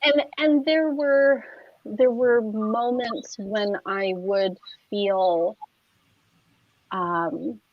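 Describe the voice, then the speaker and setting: A woman's voice: mid-range voice, steady tone, extremely slow, no room sound. A woman chatting casually as an interview guest, talking loosely with many "like" fillers and restarts. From United States. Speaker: guest, clinical social worker. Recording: dry, slightly muffled lo-fi audio.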